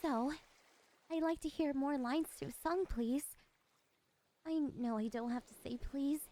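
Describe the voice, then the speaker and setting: High-voiced, lonely, possessive siren, acted by a woman. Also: a little slow, mellow. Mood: entranced, coaxing. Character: lonely, possessive female siren, curious and soft-spoken. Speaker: voice actress. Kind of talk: ASMR roleplay monologue.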